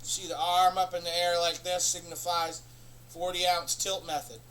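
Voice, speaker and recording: A man hosting a comedy show, rambling through an absurd, profane monologue in a deadpan way. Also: high voice, normal speed, a little hiss or hum, low-quality recording. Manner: rambling, profane, deadpan nonsense with sudden bursts of laughter.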